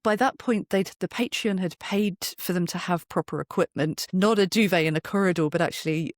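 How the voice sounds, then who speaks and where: Androgynous mid-pitched storyteller, very dry sound. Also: brisk, dry recording. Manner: animated storytelling, acts out remembered remarks. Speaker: guest, voice actor and narrator. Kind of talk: casual anecdotal interview chat. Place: home recording booth.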